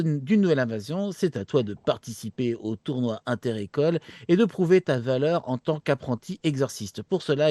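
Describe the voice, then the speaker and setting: A man's voice: on the high side, dry recording. A man chatting casually as co-host of a show, often repeating words for emphasis. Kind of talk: casual chat, informal announcement.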